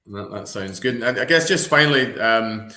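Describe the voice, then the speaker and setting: Man, webinar host, lowish voice, stop-start phrasing, roomy echo. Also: animated, pushed and bright. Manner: halting, repeats words, polite. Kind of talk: hosting an online interview. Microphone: video call.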